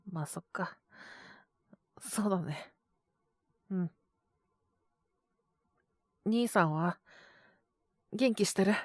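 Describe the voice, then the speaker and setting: Casting note: woman playing a soft-spoken young male teacher, feverish and coughing, slow drowsy mumble, swoopy pitch, bone-dry close sound. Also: no echo. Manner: soft, tired, mumbling, often whispered. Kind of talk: audio drama for women listeners.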